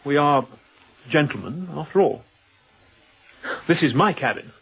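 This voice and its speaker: An actor playing a composed, courteous young sea captain in a radio drama, speaking in a measured, polite tone with quiet authority. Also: male voice, a little high, animated, breathy edge, dull audio, exaggerated voice. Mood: probing, smooth. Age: young adult.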